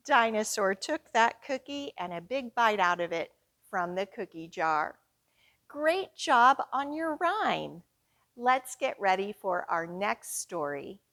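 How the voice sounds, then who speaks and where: A woman hosting a children's storytime, reading aloud with deliberate, expressive, sing-song phrasing. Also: mid-range voice. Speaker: children's storytime host reading picture books.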